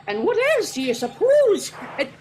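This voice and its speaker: A woman playing a rambling, folksy, excitable eyewitness who stammers, in old-time radio character style. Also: high voice, normal speed, soft background music. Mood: excited rambling. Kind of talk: 1940s radio comic character acting.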